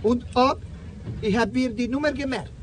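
A man acting in a German radio drama as a flamboyant, excitable, gossipy street hustler in drag, drawling theatrically. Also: very high voice, normal speed. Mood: nervous. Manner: theatrical, drawling, peppers talk with Spanish exclamations. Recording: noisy street with vehicle sounds.

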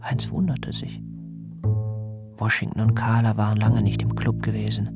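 A man gives a measured literary narration for a radio play. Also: fairly low pitch, monotone, creaky. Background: loud guitar music.

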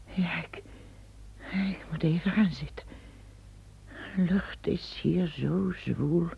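An actress playing an older empress, lost and weary as a pilgrim, in an old radio play. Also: female voice, on the low side, slow, creaky, breathy edge, highs cut off, heavily acted. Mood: uneasy. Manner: weary and uneasy. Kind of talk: radio drama acting.

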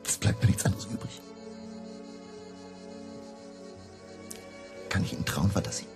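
An actor playing a weary, fearful political refugee in a German radio drama, telling his past in a halting, subdued, gravelly way. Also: close to an everyday voice. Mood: anguished, resigned. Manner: halting, subdued confessional storytelling. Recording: music behind the voice.

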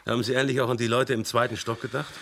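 A dry, businesslike police commissioner in a radio drama, tired but thorough. His voice comes across bright and forceful. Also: mid-range voice, flat delivery, racing, creaky, a little hiss or hum. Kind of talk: radio play acting.

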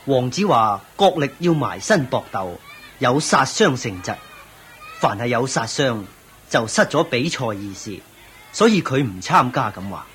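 A man's voice: mid-range voice, big pitch swings, measured pace, a bit bright, slight background noise. A man with a Hong Kong Cantonese accent playing a loyal, respectful martial arts master at court in a radio drama, formal and earnest. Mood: earnest, firm.

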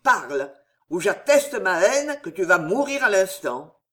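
A reader voicing a raging, bitter old father and captain who growls loud commands in a storybook. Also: mid-range voice, big pitch swings, measured pace. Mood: enraged.

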